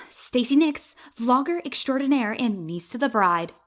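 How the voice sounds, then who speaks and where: An actress playing a perky, sardonic young vlogger in an audio drama, with showy, sarcastic patter. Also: female voice, sits high, animated, unhurried, a bit bright.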